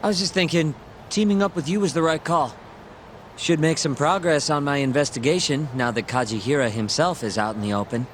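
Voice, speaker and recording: A man's voice: fairly high pitch, a little fast, slight background noise, lightly acted. A male actor playing a composed, frank investigator in a video game cutscene. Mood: friendly, frank. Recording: faint music behind the voice. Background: faint background music.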